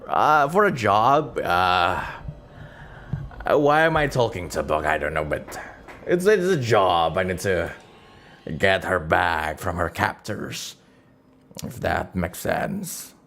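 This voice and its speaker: A man's voice: sits low, expressive, slow pace, a bit bright, a little hiss or hum, exaggerated voice. A man role-playing an aging, money-minded adventurer who mutters and rambles in mild exasperation. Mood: defensive, exasperated. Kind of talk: improvised role-play.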